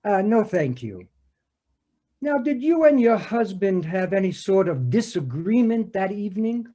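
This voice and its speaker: A man reading the part of a patient police detective in a comic scene, speaking haltingly with many hesitations. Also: sits high, very animated, dark tone, highs cut off, heavily acted. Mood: probing. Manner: halting, full of "uh" fillers. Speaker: reader in an amateur readers theater performance. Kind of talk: comic readers theater scene read over a video call. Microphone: home video call mic.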